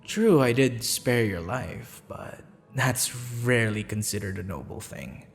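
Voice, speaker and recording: A smitten assassin played by a man courts the listener in an ASMR roleplay, his voice swathed in long reverb with music underneath. He talks slowly and softly in formal, courteous phrases, flirtatious yet a little sheepish, with a gravelly creak. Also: fairly low pitch, lively intonation. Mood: warm, apologetic. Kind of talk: ASMR roleplay, intimate scripted monologue.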